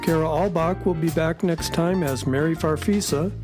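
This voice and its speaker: A man's voice: fairly high pitch, moderate pace, music in the background. A man reading scripted radio credits as the show's announcer.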